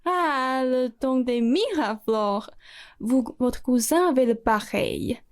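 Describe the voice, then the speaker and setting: A woman's voice: sits high, slow. A woman playing a rich, effusive aristocratic lady in a student radio play, speaking grandly with theatrical sighs. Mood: welcoming.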